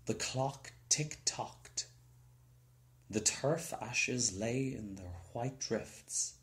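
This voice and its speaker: A man's voice: medium pitch, darkish tone. A man reading a children's story aloud as scripted narration. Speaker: storybook reader.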